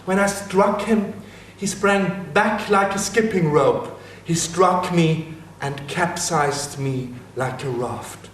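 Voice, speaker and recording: A man reading the part of a fierce, troubled wild hero in a dramatic reading, slow and measured. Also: on the high side, slight background noise. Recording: echoey room with faint ambience.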